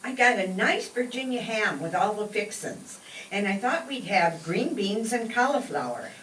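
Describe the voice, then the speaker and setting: A woman playing a fussing, hospitable housewife and mother in an old-time radio comedy, expressive and homely. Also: mid-range voice, normal speed, close to an everyday voice. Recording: roomy, distant stage pickup with faint noise.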